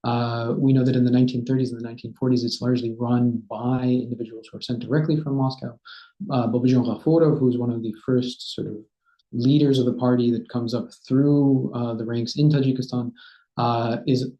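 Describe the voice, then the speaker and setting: Historian, thirties, male: measured lecturer, mellow voice, dull roomy webcam sound. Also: mid-range voice, little pitch movement. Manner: measured lecturing with frequent "uh" and "right?". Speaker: historian, guest speaker. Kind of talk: academic talk answering a question. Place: home study with bookshelves. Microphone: laptop webcam on video call. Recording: dull, roomy video-call audio.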